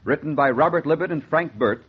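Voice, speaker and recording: An actor as a theatrical, portentous, teasing announcer for a 1940s radio suspense series. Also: male voice, a little high, fairly quick, husky. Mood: dramatic announcing. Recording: dull, muffled 1940s radio recording.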